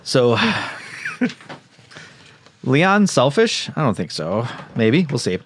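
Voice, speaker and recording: A man's voice: medium pitch, very animated, slow pace. A man co-hosting a comedy podcast, casually reading a story recap with wry, mock-serious reactions. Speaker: podcast co-host. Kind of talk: casual comedic recap, part read aloud.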